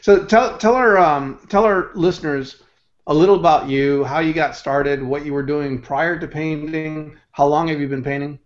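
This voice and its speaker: Male host, teasing, casual, fairly high voice, dull video call sound. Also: steady tone, normal speed. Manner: joking, teasing. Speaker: show host. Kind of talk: casual interview hosting. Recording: muffled video call audio.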